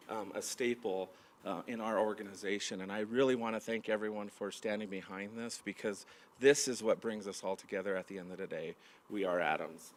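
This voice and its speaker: Man losing his voice, halting speech. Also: on the low side. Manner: halting, many fillers and restarts. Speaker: county manager hosting a staff meeting. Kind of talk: opening remarks to a large audience. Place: large meeting room with audience.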